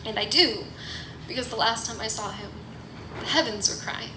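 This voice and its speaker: A young woman performing spoken-word poetry live in an earnest, emotive, measured way. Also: fairly high pitch. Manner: earnest, emotive, measured phrasing that rises from hushed to forceful. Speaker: slam poet. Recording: echoey, noisy live room.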